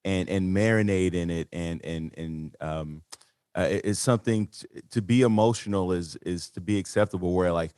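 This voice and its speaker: A man hosting an interview, asking reflective questions with halting, stuttering starts and many fillers. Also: low-pitched, fairly even intonation, darkish tone, clear, resonant tone, no echo, studio quality. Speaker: podcast host.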